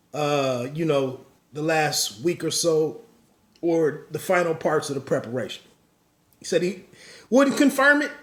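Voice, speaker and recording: A man's voice: high voice, roomy sound. A man hosting a podcast, giving solo boxing commentary in a rambling way with many restarts and asides.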